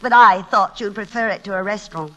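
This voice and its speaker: An actress playing a brisk, kindly middle-aged English widow with a firm, chatty manner in a classic radio drama. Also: female voice, medium pitch, bright, forceful tone, natural voice, rough recording. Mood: cosy, chatty. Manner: firm, matter-of-fact, chatty.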